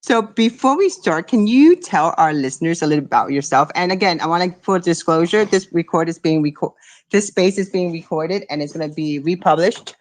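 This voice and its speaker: A woman's voice: fairly low pitch, expressive, fairly quick, darkish tone, no echo. A woman co-hosting a live audio chat show, chatty and a bit apologetic, talking into a phone. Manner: chatty, apologetic, with filler words. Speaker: podcast co-host. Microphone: Android phone.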